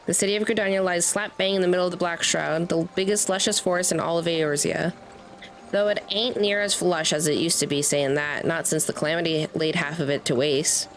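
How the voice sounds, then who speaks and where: A woman's voice: lowish voice, steady tone, fairly quick, slight background noise, close to an everyday voice, poor audio. A woman voicing a chatty, folksy old male peddler from a fantasy game, reading the lines aloud with a rustic turn of phrase. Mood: informative. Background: game music.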